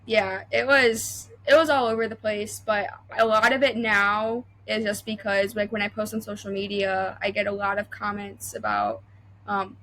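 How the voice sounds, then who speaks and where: A woman's voice: medium pitch, normal speed, fairly bright tone, rough recording. A young adult woman welder talking as a podcast guest, chatty and full of fillers and restarts. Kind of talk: casual interview chat.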